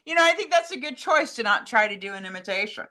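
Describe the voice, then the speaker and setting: A woman's voice: fairly high pitch, animated, fast, over a noisy crowd. A woman co-hosting a casual, conversational chat about films and television. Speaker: co-host, communications director.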